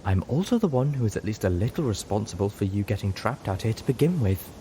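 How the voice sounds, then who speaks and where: A man's voice: fairly low pitch, sing-song, quick pace, warm tone, slight background noise. A man voicing a refined female pony with a frayed temper, haughty, snide and weary in a story reading. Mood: bitter.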